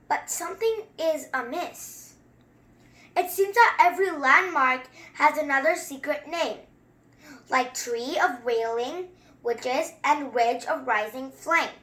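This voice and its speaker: A woman voicing an eager, adventurous young boy from a storybook, reading his lines haltingly. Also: high-pitched, sharp tone, heavily acted. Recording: roomy echo.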